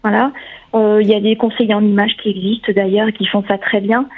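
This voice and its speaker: A woman giving a chatty sex column on a radio talk show, laughing often and filling pauses with "euh" and "voilà". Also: mid-range voice, little pitch movement. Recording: narrow phone-line sound.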